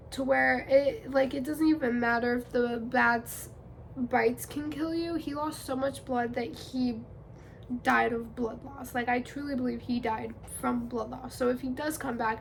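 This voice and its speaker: A woman's voice: sits high, slight background noise. A young woman vlogging in casual chat, congested from a cold, chatty and rambling with fillers.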